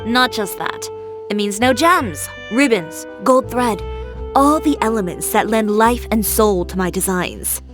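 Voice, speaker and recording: A woman's voice: medium pitch, expressive, a little slow, music in the background, natural voice. A woman playing a proud, blunt, impatient fashion designer in a video game, with a self-assured, cutting manner. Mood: dismayed.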